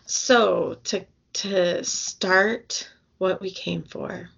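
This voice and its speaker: A woman's voice: lowish voice, steady tone, extremely slow, vocal fry, fairly bright tone, breathy edge, highs cut off. A young adult woman co-hosting a casual book podcast, rambling with fillers and restarts as she loses her voice. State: losing her voice. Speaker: podcast co-host.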